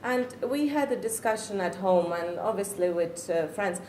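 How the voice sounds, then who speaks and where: A woman's voice: mid-range voice, lively intonation, moderate pace, a little mellow. A middle-aged woman from the Czech Republic with a Czech accent, a Czech native speaker, giving an earnest, reflective personal testimony as a parent and searching for her words. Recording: echoey room with faint noise.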